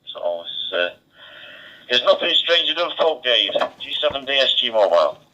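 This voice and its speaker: A man's voice: medium pitch, big pitch swings, normal speed, bright, forceful tone. A middle-aged man, chatting casually and ramblingly as a radio ham over a two-way radio link. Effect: two-way radio transmission. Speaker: amateur radio operator. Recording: muffled digital two-way radio audio.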